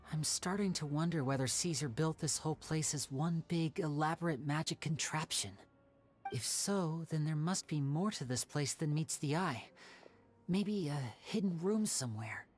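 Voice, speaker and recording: Low-voiced, suave young magician. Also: female voice, a little mellow. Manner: polite, theatrical, measured. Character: suave, confident young male stage magician. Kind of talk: video game voice acting. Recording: faint music behind the voice.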